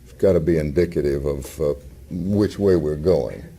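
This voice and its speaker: A man's voice: extremely low pitch, moderate pace, mellow. An actor playing a wry, disapproving man in a screen drama.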